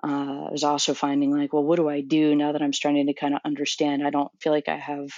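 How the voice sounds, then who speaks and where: Halting, reflective woman with flat voice. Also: deep voice, no echo, lo-fi sound. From Florida, USA. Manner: halting, many fillers and restarts, thoughtful. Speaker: doctoral student, interview guest. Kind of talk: reflective interview answer.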